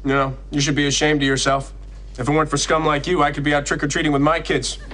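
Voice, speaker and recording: A man's voice: fairly high pitch, little pitch movement, fast, sharp tone. A man playing a blustering fake police officer in a TV sitcom, fumbling and self-righteous. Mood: flustered, scolding. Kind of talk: sitcom acting. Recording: noisy TV sitcom soundtrack.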